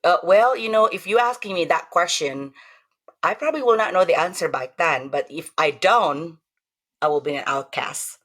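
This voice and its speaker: A woman's voice: fairly low pitch, normal speed, a bit bright. A middle-aged woman from Indonesia with an Indonesian accent, telling her life story in a rambling, chatty way with many fillers as an interview guest. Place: home room with decorations behind her.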